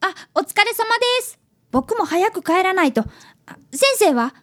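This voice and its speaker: Eager, high-pitched schoolboy detective. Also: female voice, expressive, moderate pace, a bit bright. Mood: curious. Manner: eager, polite. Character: earnest, polite fifth-grade schoolboy apprentice detective. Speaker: child apprentice detective in a radio drama. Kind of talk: radio drama acting.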